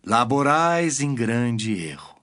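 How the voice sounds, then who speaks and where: Authoritative male teacher of scripture, played by a man, solemn delivery with wide, lively inflection, soft music beneath. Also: mid-range voice, slow pace. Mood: stern teaching. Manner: solemn, emphatic, declamatory. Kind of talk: dramatized scripture audio reading.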